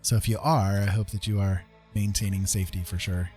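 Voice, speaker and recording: A man's voice: lowish voice, little pitch movement, a little fast, warm tone, soft background music. A man chatting casually on a livestream as an artist, thinking aloud in a conversational way. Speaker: live streamer and digital artist.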